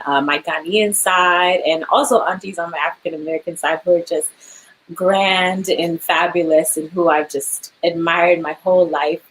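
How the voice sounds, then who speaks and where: A woman's voice: on the low side, slight background noise, low-quality recording. Chatty, laughing young woman. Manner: chatty, laughs often, many fillers. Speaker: actor being interviewed. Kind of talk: casual interview chat.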